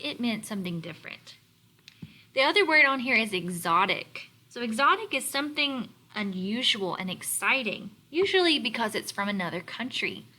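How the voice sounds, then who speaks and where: A woman teacher leading a read-aloud lesson for young children, explaining words in a deliberate, instructional way. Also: medium pitch, bright, forceful tone. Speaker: elementary teacher.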